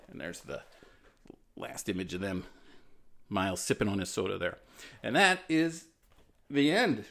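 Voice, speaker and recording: A man doing a friendly, encouraging storytime reading for children, with casual asides. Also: mid-range voice.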